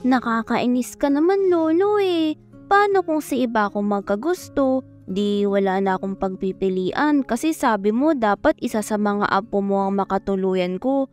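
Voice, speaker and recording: A woman's voice: fairly high pitch, lively intonation, moderate pace, darkish tone, faint music behind, lightly acted. A woman voicing a cheeky, pouting little girl with a Filipino accent in an audio drama. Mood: pouty, teasing.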